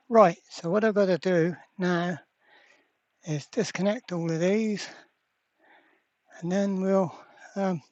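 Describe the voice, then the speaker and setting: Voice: mid-range voice, a little mellow, no room sound. A presenter giving casual hands-on workbench commentary, thinking aloud in a hesitant way. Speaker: repair video presenter. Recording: dull, muffled lo-fi sound.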